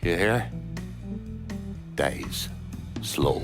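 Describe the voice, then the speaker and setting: Low-voiced, bitter Irish crime boss. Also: male voice, lively intonation, drawn-out words, music in the background, exaggerated voice. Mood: scornful, vengeful. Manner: profane, sardonic, taunting. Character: bitter, foul-mouthed, vengeful Irish bootlegger crime boss. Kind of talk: video game cutscene acting.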